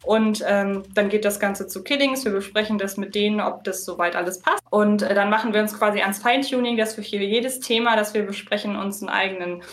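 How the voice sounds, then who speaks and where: A woman speaks at a medium pitch in a room with noticeable echo. She talks casually as an interviewed podcast co-host, laying things out in long, detailed sentences. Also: a little fast, hardly any pauses. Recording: roomy, echoey sound.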